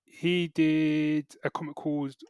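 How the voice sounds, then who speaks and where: A middle-aged British man chatting casually as a podcast co-host, halting and correcting himself as he goes. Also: a little high, level tone, darkish tone, clear, resonant tone, no room sound, studio quality. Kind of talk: casual chat, geek reviews.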